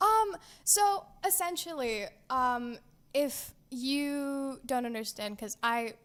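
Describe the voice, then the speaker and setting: A young woman co-hosting a casual gaming podcast, excitable and giggly, with lots of fillers. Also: high-pitched, slow pace, clear, resonant tone. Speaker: podcast co-host.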